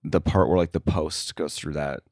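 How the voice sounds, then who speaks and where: A man speaking casually as an interview guest about his work, thinking aloud in a halting way. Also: a bass voice, steady tone, a little mellow, no room sound, clean studio sound. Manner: halting, thinking aloud, frequent filler likes. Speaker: sound editor, interview guest.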